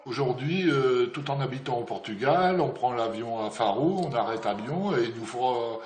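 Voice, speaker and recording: An older man answering interview questions casually, hesitant and full of pauses. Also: medium pitch, animated, darkish tone, roomy sound. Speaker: retiree being interviewed.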